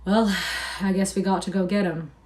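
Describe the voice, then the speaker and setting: A woman reading aloud and voicing a quiet, steady male ranch hand who speaks in a measured way. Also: mid-range voice, distant mic, close to an everyday voice, poor audio. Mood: grave. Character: calm, quiet male mule skinner, steady and grave.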